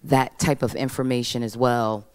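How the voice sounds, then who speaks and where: A woman with a strikingly deep, smooth voice speaks from the audience in a large hall. She politely thanks everyone before asking her question. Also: fairly even intonation, moderate pace.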